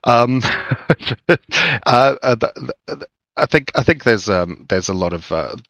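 A man from Zimbabwe, co-hosting a podcast, talks in a halting, thoughtful way and often repeats his words. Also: medium pitch, lively intonation, a bit bright, dry recording, highs cut off. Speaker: podcast co-host. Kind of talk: casual chat.

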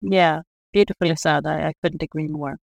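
A middle-aged woman from Sweden speaks in a soft, measured voice with plenty of hesitations. She is a researcher being interviewed. The sound is dry and close, with no room around her. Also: low voice, steady tone, creaky, no room sound. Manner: soft-spoken, measured, many fillers. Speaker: guest, sustainability researcher. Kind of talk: reflective interview answers.

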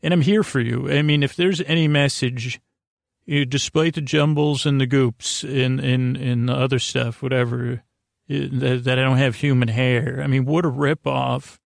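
Gravelly man rambling a bedtime monologue. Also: medium pitch, fairly even intonation, no room sound, clean studio sound. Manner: meandering, halting, trails off and repeats himself; lulling, soothing delivery. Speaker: podcast host. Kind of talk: rambling bedtime monologue to help listeners sleep.

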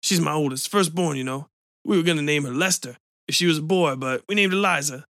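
A man voicing a bitter, weepy man with a smoker's rasp who pleads and breaks into tears. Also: on the high side, brisk, fairly bright tone, no room sound, studio quality. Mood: tearful.

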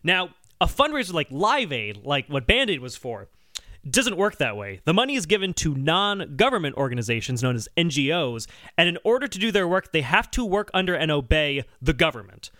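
A male podcast host talks in a high, bright voice that swings up and down as he explains. He is sardonic and emphatic, casually dropping swear words, and the sound is dry. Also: very animated, brisk, no echo.

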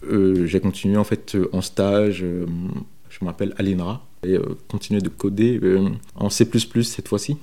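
A man from Benin talks in a deep, mellow voice. He gives an informal account of his working life as a developer. His delivery is hesitant, often pausing and doubling back on words. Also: fairly even intonation. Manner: hesitant, halting delivery with frequent repetitions. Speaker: software developer interviewed about his career. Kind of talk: informal first-person career account.

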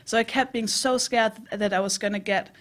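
A woman's voice: mid-range voice, fairly even intonation, fairly quick, fairly bright tone. A woman comedian with a mid-range voice tells a personal story on stage. Her delivery is conversational and self-deprecating, as a co-host of a live comedy podcast. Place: small live comedy venue.

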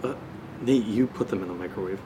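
An actor playing a wary, exasperated and sarcastic male housemate with a husky voice, in naturalistic audio drama dialogue, hesitant and given to swearing. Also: fairly low pitch, a little fast, dark tone, slight background noise, natural voice. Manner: hesitant, sardonic, profane.

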